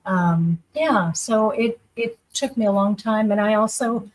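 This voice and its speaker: A woman with a medium-pitched voice speaks as a guest in an informal discussion. She tells a personal story in a reflective, conversational manner. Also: a little slow, rough recording. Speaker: guest panelist.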